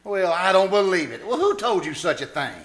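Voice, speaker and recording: A man's voice: high voice, sing-song, fairly quick, fairly bright tone, full character voice. A man playing a teasing, wisecracking, good-natured husband in a theatrical 1940s radio sitcom style. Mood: wisecracking, incredulous. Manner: jokey, theatrical reactions. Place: live performance at a winery. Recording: live stage recording, faint background noise.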